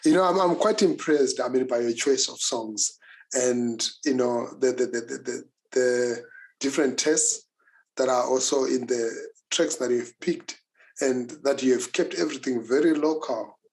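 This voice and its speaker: Male interviewer, middle pitch, relaxed and informal, restarts often. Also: measured pace, poor audio. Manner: informal, repeats words, uses slang. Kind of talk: casual interview.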